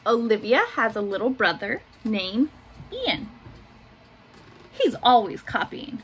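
Woman in her 30s reading a picture book to kids, kinda high voice, bit of room noise. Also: big pitch swings. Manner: simple, deliberate storytelling with asides to young children. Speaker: school staff member reading aloud to pupils. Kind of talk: children's picture book read-aloud. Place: small home office room, indoors. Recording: noticeable room noise behind voice.

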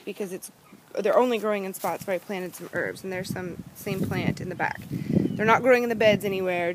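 A young woman giving a chatty, upbeat walking tour of her backyard garden, recorded on a handheld phone outdoors. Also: mid-range voice. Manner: upbeat, chatty, pointing things out. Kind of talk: casual walking tour narration. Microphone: phone camera held in hand. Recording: outdoor phone audio with background noise, hand over mic at times.

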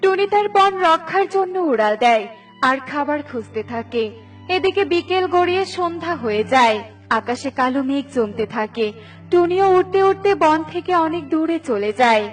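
A woman's voice: sits high, animated, normal speed, faint music behind. A woman narrator reading a scripted children's story.

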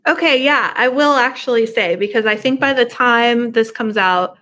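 A woman's voice: on the high side, moderate pace, sharp tone. A middle-aged woman chatting casually and informally as a podcast guest on a video call from her home. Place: living room at home.

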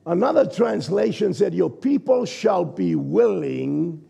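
A man in his sixties, a pastor from New Zealand, preaching a sermon from a church stage with a croaky, gravelly voice and a conversational manner. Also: sits high, lively intonation, unhurried, warm tone. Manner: conversational preaching, checks in with the congregation. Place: church stage, large indoor hall. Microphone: stage mic at a lectern, far camera.

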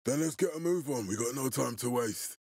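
A husky, commanding military leader. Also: male voice, mid-range voice, a little mellow, dry recording, studio quality. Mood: stern, controlled. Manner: authoritative, curt, measured. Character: commanding, menacing middle-aged male military leader. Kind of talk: dramatic video game voice acting.

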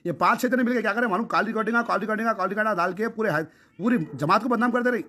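A man's voice: high voice, very fast, pushed and bright. A man with a Hyderabadi accent talking insistently and emphatically on a recorded phone call, often repeating himself. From Hyderabad, India. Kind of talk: phone conversation, impassioned persuading.

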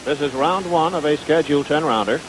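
A man's voice: fairly high pitch, fairly quick, a little hiss or hum, rough recording. An American man calling boxing in the style of 1950s live television, on a vintage broadcast recording. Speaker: boxing commentator. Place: ringside at an indoor stadium.

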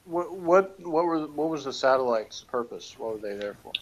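A man's voice: fairly high pitch, lively intonation, normal speed, lo-fi sound. A middle-aged man co-hosting a casual chat from his home office, curious and full of questions, laughing easily. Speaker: podcast co-host.

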